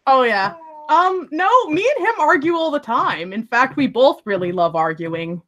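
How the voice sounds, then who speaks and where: A chatty woman whose first language was Spanish talks at length in a casual voice chat, eager to argue and quick to laugh. Her voice sits a little high. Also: expressive, fairly bright tone. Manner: talkative, argumentative, quick laughs.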